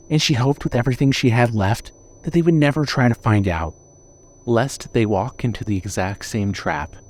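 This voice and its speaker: A male narrator reads scripted horror fiction in a dramatic style. His voice sits at a medium pitch. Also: darkish tone.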